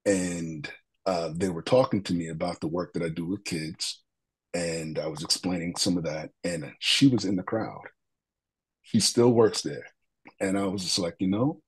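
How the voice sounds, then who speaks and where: A man with a deep voice, a guest in an interview, telling an earnest, reflective story with frequent fillers and restarts. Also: poor audio. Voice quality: deep, husky voice.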